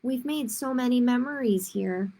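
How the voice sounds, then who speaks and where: A woman voicing a gentle, reassuring father bear from a picture book, patiently explaining things to a child. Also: a little high, normal speed.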